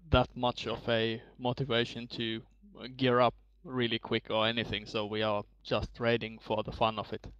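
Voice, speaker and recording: A man's voice: mid-range voice, a bit bright, clear, resonant tone, no room sound, lo-fi sound. A man from southern Finland giving brief, plain answers in an interview. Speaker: guest, gamer.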